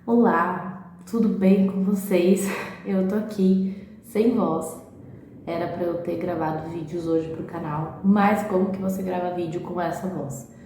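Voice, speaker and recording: A Brazilian woman chatting casually at home for a reading vlog, often ending sentences with a quick "right?". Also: lowish voice, fairly even intonation, mellow. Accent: Brazilian Portuguese. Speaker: book vlogger. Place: at home, echoey room. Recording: very echoey room, faint background hiss.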